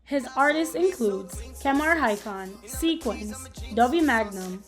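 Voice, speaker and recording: A woman's voice: fairly high pitch, expressive, measured pace, faint music behind. A woman presenter reading a scripted news item aloud.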